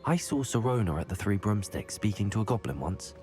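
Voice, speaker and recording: An earnest young wizard student answers politely and plainly. His voice sits a little low, with faint game music behind it. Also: very animated, fairly quick, mellow. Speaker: player character. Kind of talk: game cutscene acting.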